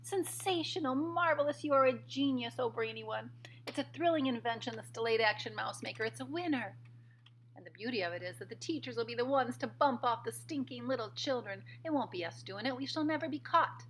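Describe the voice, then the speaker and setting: A woman reading aloud, voicing an excited crowd of wicked female witches with shrill, gleeful exclamations. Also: fairly quick, hardly any pauses, a little hiss or hum, poor audio.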